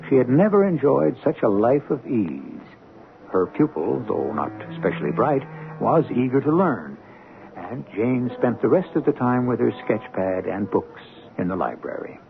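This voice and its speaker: Gravelly-voiced man, ominous old radio host. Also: medium pitch. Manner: measured, ominous, theatrical. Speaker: radio drama host and narrator. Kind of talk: scripted dramatic narration, 1970s radio mystery. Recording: tinny band-limited 1970s radio broadcast.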